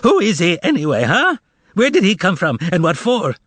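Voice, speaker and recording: A man's voice: very high-pitched, sing-song, measured pace, a bit bright, no echo, voice pushed up, exaggerated voice. A man voicing a sly, theatrical male con man with a thin, tremulous, quavering voice, sarcastic and wailing. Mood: mock-indignant. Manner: sarcastic, wailing, mock-indignant. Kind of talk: audiobook character voice.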